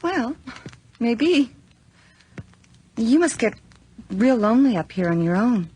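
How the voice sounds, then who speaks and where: Casting: chatty, nervous young female student for horror radio play; high voice, very lively intonation, hesitant. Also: takes it slowly, husky, full character voice. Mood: friendly, chatty. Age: young adult. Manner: hesitant, rambling, stammering. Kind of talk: 1980 horror radio drama acting. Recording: noisy radio drama recording with clicks.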